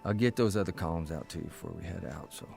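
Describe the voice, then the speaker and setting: An actor playing a weary, stubborn newspaper columnist in an audio drama, halting, irritable and sardonic. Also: male voice, sits low, vocal fry, darkish tone, soft background music. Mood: grudging. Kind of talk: naturalistic audio drama acting.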